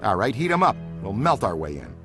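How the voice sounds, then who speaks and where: Lively, earnest male ghost hunter. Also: lowish voice, a little fast, music in the background. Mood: eager. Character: earnest, excitable paranormal investigator, adult man. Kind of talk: video game cutscene acting.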